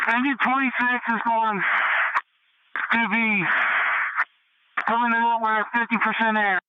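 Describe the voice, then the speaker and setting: A man's voice: very high voice, little pitch movement, unhurried, highs cut off. A man working as a fire officer, giving terse status reports over a two-way radio. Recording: noisy, band-limited fireground radio traffic.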